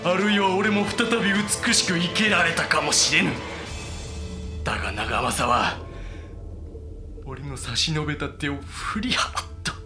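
A man playing a cold, broken Japanese warlord in a period voice drama, speaking archaic lordly lines deliberately with dramatic pauses. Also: fairly high pitch, close to an everyday voice. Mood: bitter. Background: dramatic music.

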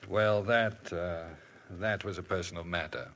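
An actor playing a reserved priest who gives brief, guarded answers, in a 1950s radio drama. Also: male voice, sits low, steady tone, a little slow, fairly bright tone.

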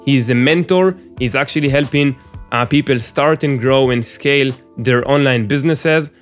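A man's voice: medium pitch, normal speed, soft background music. A man hosting a podcast interview, talking casually with frequent fillers and restarts. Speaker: podcast host.

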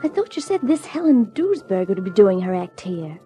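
An actress playing a detective's sassy, jealous young secretary in a 1950s radio drama, with a teasing, pert, sardonic delivery. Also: female voice, mid-range voice, sing-song, moderate pace, dark tone, lightly acted. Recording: 1950s radio drama recording, music behind.

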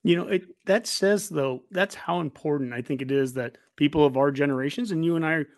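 Higher-voiced American man hosting, dry sound. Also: brisk, dry recording. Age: 40s. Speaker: host of a sports fan show. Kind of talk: casual interview chat.

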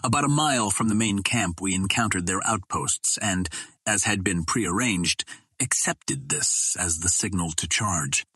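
Low, husky male audiobook narrator. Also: a little slow, bright, forceful tone, no echo. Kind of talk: scripted narration of a vintage adventure novel.

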